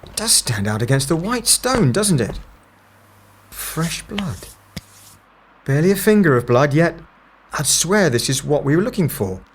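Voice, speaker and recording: A man's voice: on the high side, very animated, unhurried, pauses often, slight background noise. A man playing a stern, dry, impatient investigator in a scripted audio drama, with clipped, sardonic delivery.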